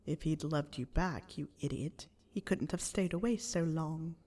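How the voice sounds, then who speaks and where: A woman voicing a scolding inner voice of doubt in an audiobook, hushed and needling. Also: low-pitched, a little slow, voice pushed down. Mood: scornful.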